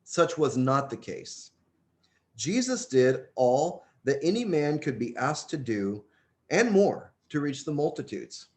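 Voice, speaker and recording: A man's voice: a little high, big pitch swings, slow pace, darkish tone. A man reading a religious book aloud in an earnest, emphatic way, stressing key phrases. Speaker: audiobook reader.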